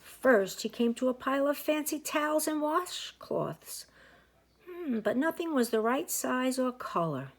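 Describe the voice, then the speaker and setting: A woman reading a picture book aloud as a teacher to young children, with expressive, drawn-out emphasis. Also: high voice. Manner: animated, with slow drawn-out emphasis; asides and explanations for young listeners.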